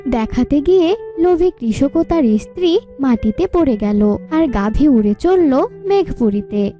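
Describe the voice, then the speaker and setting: A woman's voice: sits high, expressive, measured pace, over music. A woman narrator reading a scripted fairy tale.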